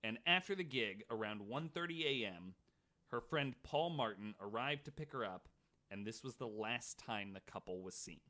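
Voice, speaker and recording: A man's voice: medium pitch, lively intonation, moderate pace, bright, forceful tone. A man hosting a podcast, reading prepared true-crime notes and dropping in casual asides. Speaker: podcast host.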